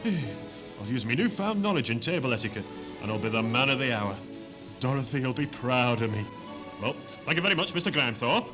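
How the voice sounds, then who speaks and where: A man's voice: mid-range voice, big pitch swings, fairly quick, natural voice. A man playing a naive, hapless working-class lad with a broad Northern English accent and exaggerated exclamations in a comedy radio play. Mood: cheerful, earnest. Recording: 1970s hospital radio recording, music behind.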